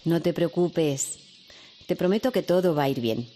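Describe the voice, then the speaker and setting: An actress playing a caring, worried mother who speaks gently and soothingly to her son. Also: on the low side, animated, normal speed, faint music behind, close to an everyday voice. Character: caring worried mother, middle-aged woman. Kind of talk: radio drama acting.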